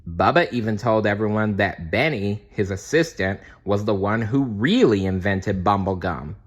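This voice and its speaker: A man reading a children's storybook aloud as scripted narration, dramatic and expressive. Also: fairly low pitch, normal speed, husky. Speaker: storybook reader.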